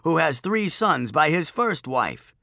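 A man plays a pedantic chief priest in an audiobook. The voice is raised and bright, reciting in a pompous, drawn-out drone as if setting a trap. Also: fairly high pitch, sing-song, gravelly, no room sound, studio quality, pitched above the performer's own voice, full character voice. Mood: pompous testing. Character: droning, pedantic male chief priest posing riddles.

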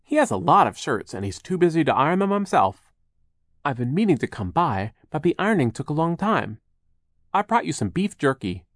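A man's voice: a little high, big pitch swings, a little fast, no room sound, voice pushed up. A man voicing a kindly, timid mill partner in an audiobook, polite and anxious to please. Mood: kindly, hesitant.

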